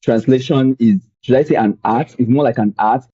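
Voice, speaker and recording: A young man from Nigeria with a Nigerian accent, telling his story as a podcast guest in a relaxed, rambling way with many fillers. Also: mid-range voice, normal speed, husky, dry recording, dull audio. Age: young adult. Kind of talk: conversational storytelling.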